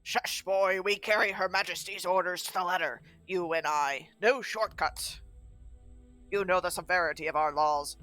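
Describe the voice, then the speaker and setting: A woman's voice: on the low side, normal speed, a bit bright, faint music behind, natural voice. A woman voicing an irritable, harsh-tempered male guard in a visual novel, scolding as they go. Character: exasperated, gruff male guards escorting a convict, harsh-tempered.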